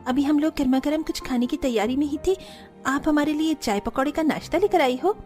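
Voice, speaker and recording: An actress plays a lazy, demanding young woman of a cartoon household. Her voice is high and chirpy with a cheeky wheedle, over faint music. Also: brisk, a little mellow, close to an everyday voice. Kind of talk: Hindi cartoon story acting.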